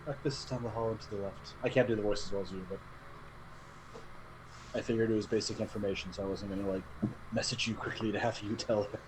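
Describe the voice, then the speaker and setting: A man's voice: lowish voice, fairly even intonation, fast, noisy background. A man running a tabletop game as game master, talking casually and easygoingly, sometimes stumbling over his words.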